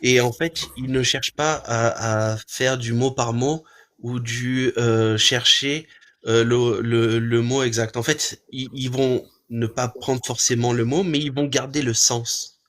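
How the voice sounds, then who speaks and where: A man hosting a live stream, calmly arguing a point with many hesitations and restarts. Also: mid-range voice, unhurried. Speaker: livestream host. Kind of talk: casual debate on a live stream.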